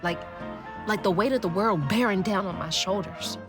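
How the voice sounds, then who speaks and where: A woman's voice: medium pitch, normal speed, lightly acted. An actress playing a determined, anxious young Southern US woman searching for her mother in a video game, earnest and emotionally open. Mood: reflective. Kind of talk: cinematic video game acting with reflective narration. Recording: music behind the voice.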